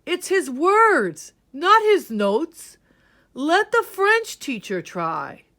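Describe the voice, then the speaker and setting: A woman's voice: high voice, very animated, drawn-out words, pushed and bright, pitched above the performer's own voice, exaggerated voice. A woman voicing an earnest, confident male band leader from a children's storybook, speaking emphatically. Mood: emphatic.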